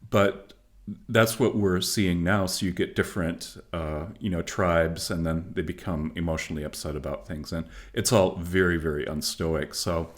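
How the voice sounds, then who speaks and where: A middle-aged man, a philosopher and author, speaking as a podcast guest in a measured, reflective way with frequent pauses and fillers. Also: low-pitched, fairly even intonation. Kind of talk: podcast interview.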